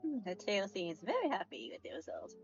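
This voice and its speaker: A woman's voice: medium pitch, wildly swooping pitch, brisk, vocal fry, dull audio. A woman streaming a game, giving casual live reactions in short remarks. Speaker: streamer playing a story game. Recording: game music behind the voice. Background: game music.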